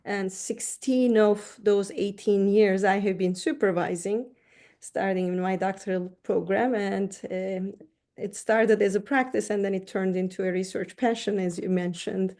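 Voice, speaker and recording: A woman's voice: mid-range voice, steady tone, measured pace, dark tone. A middle-aged woman from Turkey, a counseling professor answering interview questions in a thoughtful way, often restarting words.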